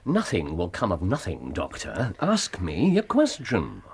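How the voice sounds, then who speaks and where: An actor playing a brilliant, self-assured Victorian English detective in a radio drama, precise and teasingly amused in manner. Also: male voice, low voice, wildly swooping pitch, unhurried, breathy edge, exaggerated voice.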